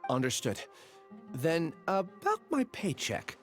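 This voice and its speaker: An earnest, troubled young scholar's assistant for a video game. His voice sits on the higher side and he speaks politely, with some hesitation. Faint music plays behind him. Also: wildly swooping pitch, slow pace, heavily acted. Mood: apologetic.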